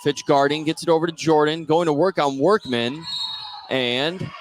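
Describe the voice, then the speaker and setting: Guy calling a basketball game live, voice a bit high, some gym noise behind him. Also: moderate pace. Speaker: play-by-play radio commentator. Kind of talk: live basketball play-by-play commentary. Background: sports gym ambience.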